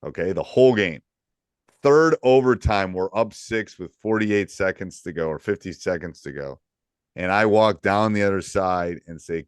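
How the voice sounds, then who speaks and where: Fifties coach guy, loud distinct voice, low, dry close mic, gets emphatic telling stories. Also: smooth, no room sound. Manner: animated, storytelling, emphatic. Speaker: podcast host and basketball coach. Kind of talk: casual podcast chat.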